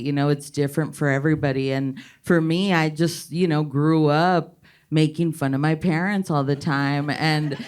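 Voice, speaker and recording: A woman's voice: low voice, clean tone. A woman comedian from Texas, talking candidly and casually on a panel, often laughing.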